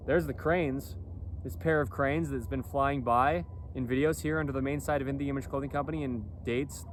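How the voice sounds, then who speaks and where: Man, outdoor vlog, rambling, keeps checking in with the listener, mid-pitched voice, faint city traffic behind. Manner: rambling, keeps tagging "okay?" and "you know". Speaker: clothing brand vlogger. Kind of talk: casual outdoor monologue. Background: faint traffic, airplanes and sirens.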